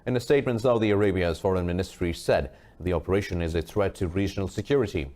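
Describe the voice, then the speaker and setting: A man reading a scripted news bulletin as anchor, formal and measured. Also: low voice, a little mellow.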